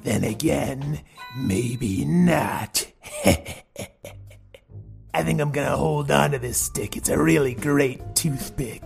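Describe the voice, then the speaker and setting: A man voicing a stubborn, smug giant male T-Rex with a creaky, grumbling delivery in an improvised children's story. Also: mid-range voice, slow pace, pauses often, soft background music, voice pushed down. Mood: smug, teasing.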